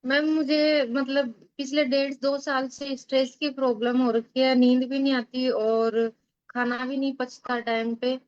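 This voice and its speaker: A woman in her twenties answers a doctor's questions politely, a bit hesitantly, and lists her symptoms one by one. Her voice is high and smooth. The call audio is dry and muffled. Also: flat delivery, a little slow, dry recording. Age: 20s. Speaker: patient, recent Ayurveda graduate. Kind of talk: casual consultation answers. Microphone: video call.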